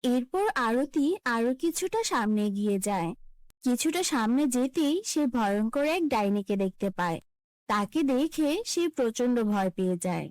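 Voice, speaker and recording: A woman's voice: a little high, expressive, unhurried, darkish tone, dry recording. A woman reading scripted fairy-tale narration for children as a storyteller.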